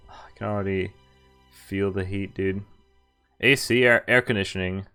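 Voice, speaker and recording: A man's voice: deep voice, steady tone, normal speed, sharp tone, smooth. A young man live-streaming a game, switching between reading narration aloud and casual, jokey, hesitant asides. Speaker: streamer playing and reading a visual novel. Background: game music.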